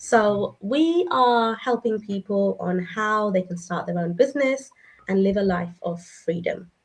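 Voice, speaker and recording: A woman's voice: medium pitch, animated, a little slow. A woman in her thirties hosting a live online session, welcoming viewers and introducing guests.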